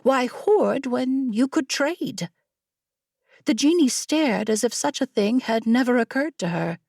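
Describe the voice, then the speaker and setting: Expressive woman narrating fiction, unhurried. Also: fairly high pitch, gravelly, no echo, clean studio sound. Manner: measured, suspenseful storytelling. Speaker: audiobook narrator. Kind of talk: dramatic scripted fiction narration.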